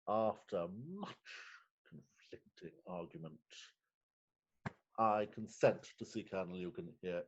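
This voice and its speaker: Man acting a flustered, pompous magistrate, muffled. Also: lowish voice. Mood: anxious. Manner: pompous, halting, theatrical. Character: flustered, pompous middle-aged male magistrate, anxious and self-pitying. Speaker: actor. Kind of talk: staged reading of stage farce. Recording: dull remote call audio.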